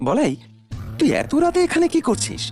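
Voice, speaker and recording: A performer voicing an old village uncle in a cartoon, gravelly and hesitant, with kindly, slightly eerie phrasing. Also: high voice, wildly swooping pitch, darkish tone, soft background music. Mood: kindly, surprised. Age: older. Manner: halting, broken phrasing with drawn-out hesitations. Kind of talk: Bengali village cartoon dialogue.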